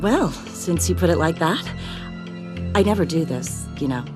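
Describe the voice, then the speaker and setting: An actress playing a playful, flirtatious casino socialite with a coy, teasing manner in a video game. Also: female voice, on the low side, expressive, measured pace. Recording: loud music behind the voice.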